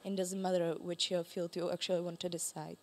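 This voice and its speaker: A woman in her twenties, a student ambassador, speaking quickly and eagerly on a panel. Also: fairly low pitch, level tone, a little mellow. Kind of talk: panel talk.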